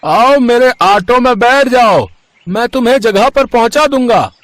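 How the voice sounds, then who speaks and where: A man's voice: very high voice, animated, unhurried, fairly bright tone, dry recording, full character voice. A man voicing a friendly, helpful auto-rickshaw driver in a children's cartoon story, eager and reassuring. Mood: cheerful, helpful.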